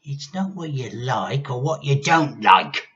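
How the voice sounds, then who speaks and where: A man voicing a nasty, gleefully menacing old grandmother in a storybook, with drawn-out, gloating delivery. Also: medium pitch, lively intonation, fairly bright tone, muffled recording, pitched above the performer's own voice. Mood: scolding. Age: older. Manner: drawn-out, gloating, sometimes throaty whispering.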